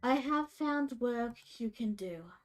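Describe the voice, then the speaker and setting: Woman doing a weary, measured man. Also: a little high, lively intonation, soft background music. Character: weary, measured man who deals in work.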